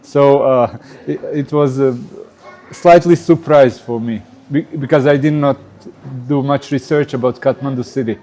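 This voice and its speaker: Croatian-accented man, mellow voice. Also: medium pitch, unhurried. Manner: hesitant, many uh fillers, non-native phrasing. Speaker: guest. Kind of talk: casual interview answers.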